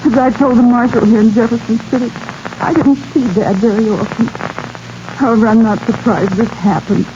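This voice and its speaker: Polite higher-voiced young lady played by an actress. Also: fairly even intonation, natural voice. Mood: composed, sorrowful. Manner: polite, measured, proper. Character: polite, composed grieving daughter of a prospector. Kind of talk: 1940s radio drama acting. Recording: muffled, noisy 1940s radio recording.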